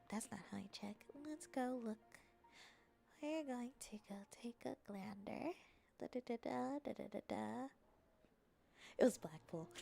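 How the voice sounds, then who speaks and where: A young adult woman chatting casually on a livestream in a playful, silly, expressive manner, reacting to her viewers. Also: on the high side, vocal fry. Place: apartment.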